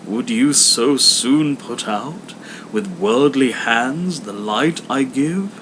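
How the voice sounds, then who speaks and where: Small gentle spirit, acted by a man: soft, low, solemn and slow, storybook style, noisy recording. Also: lively intonation. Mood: reproachful.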